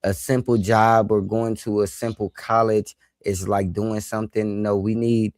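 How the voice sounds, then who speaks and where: A man co-hosting a live podcast, arguing earnestly in a halting way that repeats itself. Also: fairly low pitch, flat delivery, a little mellow, dry recording, studio quality. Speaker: podcast co-host.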